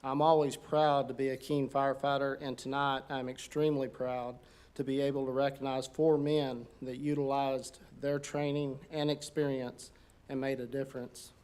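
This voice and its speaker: A man, a fire captain, reading a formal incident report at a council meeting, steady with some halting fillers. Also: medium pitch. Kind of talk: formal prepared speech. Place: council chamber.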